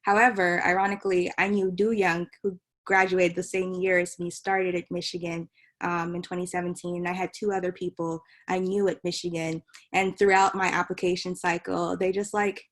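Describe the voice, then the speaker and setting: A woman's voice: on the low side, level tone, normal speed, fairly bright tone. A young woman in her twenties, born in Cameroon and raised in Maryland, a medical student telling her story in a chatty way over a video call. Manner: chatty, laughs easily. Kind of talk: casual storytelling.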